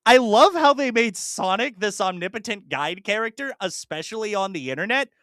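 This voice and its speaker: Podcast host rambling on excitedly, super animated pitch swings, close dry sound. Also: normal speed, no room sound. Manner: animated, rambling, mimics imagined dialogue. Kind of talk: casual chat, enthusiastic monologue about films.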